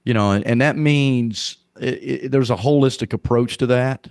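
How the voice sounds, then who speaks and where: A man's voice: mid-range voice, moderate pace, vocal fry, a little mellow, no echo, clean studio sound. A middle-aged man with a Southern accent, a guest on a podcast, talking casually and earnestly about leadership, often repeating himself as he goes. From Arkansas, US. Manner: rambling, repeats words, earnest. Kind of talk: casual conversational interview.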